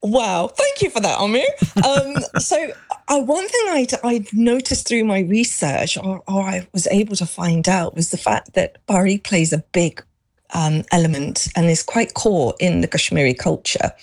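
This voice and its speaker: A woman's voice: medium pitch, lively intonation, unhurried, darkish tone. Chatty middle-aged woman. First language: Urdu. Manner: chatty, with many fillers and restarts. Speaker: guest, exhibition creator. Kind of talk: radio interview.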